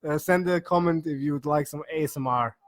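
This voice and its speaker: A man's voice: fairly high pitch, brisk. A man hosting a casual livestream chat, playful and teasing, laughing often. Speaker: host.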